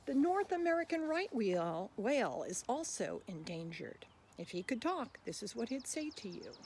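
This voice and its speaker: A woman reading a picture book aloud for children, expressive, adding explanatory asides. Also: on the high side, moderate pace, slight background noise.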